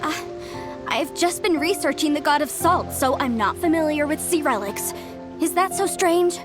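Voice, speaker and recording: A woman's voice: high-pitched, animated, moderate pace. A woman voicing an earnest female historian who speaks in a sharply reproachful way. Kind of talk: game voice acting. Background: game music.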